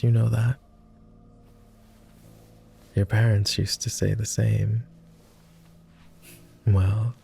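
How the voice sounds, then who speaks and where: Guy doing a low posh vampire, super gravelly, soft and tender, asmr roleplay. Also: slight background noise, full character voice. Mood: fond teasing. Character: gentle, teasing male vampire, devoted and wistful.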